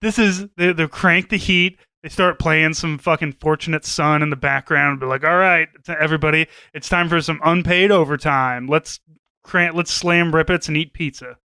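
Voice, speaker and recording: A man's voice: on the high side, fairly even intonation, normal speed, pushed and bright, clear, resonant tone, no echo. A man co-hosting a podcast, giving casual, opinionated commentary in a profane, sardonic way. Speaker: podcast co-host.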